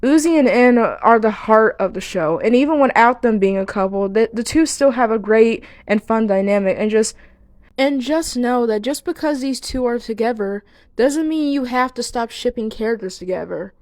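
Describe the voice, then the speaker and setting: A woman's voice: on the high side, normal speed, a little mellow, no room sound. A woman giving video essay commentary to viewers, speaking earnestly and now and then stumbling over words. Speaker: video creator and host.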